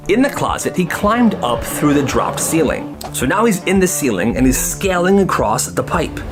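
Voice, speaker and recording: A man telling casual comedic stories in an animated narration, acting out the people he quotes. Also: a little high. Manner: acts out quoted characters' lines. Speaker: storyteller for short comedy videos.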